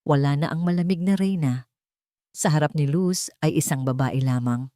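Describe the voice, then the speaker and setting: A woman with a Filipino accent narrating a scripted dramatic story in an expressive, measured way. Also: deep voice, no room sound, studio quality. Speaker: audiobook narrator.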